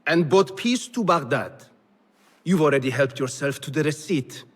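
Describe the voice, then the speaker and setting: Husky, cynical middle-aged governor. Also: male voice, a little high, lively intonation, normal speed. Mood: dry, defensive. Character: cynical middle-aged male governor, proud, pragmatic schemer. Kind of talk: video game cutscene acting. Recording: reverberant hall.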